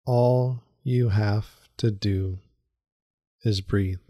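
A man leading a guided meditation in a soothing, unhurried manner with long pauses and repeated phrases. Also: on the low side, fairly even intonation, dark tone, dry recording. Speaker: meditation guide, yoga teacher. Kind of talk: guided meditation, calm instruction.

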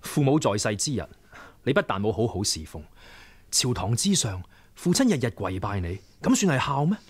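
A man's voice: medium pitch, big pitch swings, normal speed, breathy edge. A man acting a young, righteous martial rebel leader in a Cantonese radio drama, earnest and persuasive, with formal declamatory speech. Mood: reproachful.

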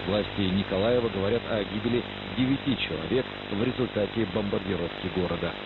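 Man, lowish pitch, news reading, muffled shortwave broadcast, very loud static. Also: quick pace, creaky. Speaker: news presenter. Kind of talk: scripted radio news narration. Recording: dull shortwave radio, heavy hiss.

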